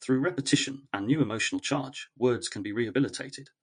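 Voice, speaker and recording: A man reading a scripted, reflective essay in a measured, earnest way with short, deliberate phrases. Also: mid-range voice, husky. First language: English. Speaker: narrator of an educational essay series.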